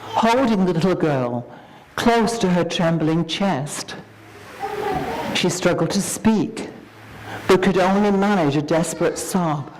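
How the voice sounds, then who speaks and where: A woman giving a dramatic, expressive reading of a scripted story, with deliberate pauses. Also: on the low side, a little hiss or hum, low-quality recording.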